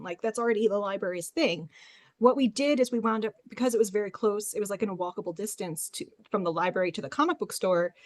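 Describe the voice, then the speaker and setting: A woman's voice: medium pitch, no echo. A woman speaking as a librarian on a panel, sharing experience informally through anecdotes.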